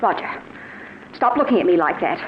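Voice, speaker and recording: A woman's voice: lowish voice, expressive, a little fast, close to an everyday voice. An actress playing a defiant, restless female accomplice in an old-time radio mystery, willful and petulant in manner. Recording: muffled, roomy, noisy vintage radio recording.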